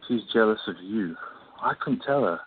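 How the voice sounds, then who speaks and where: A man's voice: on the low side, lively intonation, normal speed, breathy edge. A man playing an anxious, devoted boyfriend in an amateur radio play. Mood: awkward. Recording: thin phone-like audio, distant.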